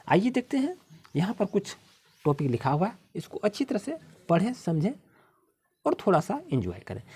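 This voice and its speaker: An Indian-accented woman teaching young children, explaining textbook exercises in an instructive way and reading out English slowly, word by word. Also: mid-range voice, a little mellow, husky. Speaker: primary school teacher.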